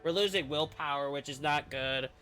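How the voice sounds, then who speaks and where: A man's voice: on the high side, moderate pace, pushed and bright, soft background music. A man streaming a game, reading its story aloud with dramatic narration and casual commentary.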